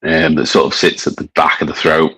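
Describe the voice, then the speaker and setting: Man, mild northern English accent, low voice, husky, casual rambling chat. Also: monotone, fairly quick, fairly bright tone. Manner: chatty, rambling, hesitant with many fillers. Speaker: beer reviewer.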